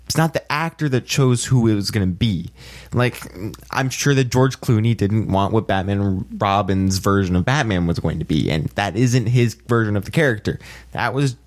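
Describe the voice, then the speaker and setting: A man's voice: lowish voice, moderate pace. A man co-hosting a casual podcast, firmly arguing his opinions in conversational language. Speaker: podcast co-host.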